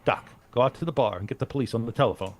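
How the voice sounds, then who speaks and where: An actor playing a cool, shrewd adventurer who leads a team of bodyguards in a vintage radio drama, decisive and wry. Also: male voice, medium pitch, rapid-fire, natural voice. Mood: commanding.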